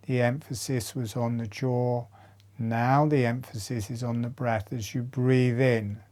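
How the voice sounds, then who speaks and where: A man with a mellow, relaxed voice of medium pitch guides a breathing meditation. He speaks extremely slowly, in short measured phrases, leaving long pauses between them as an instructor would.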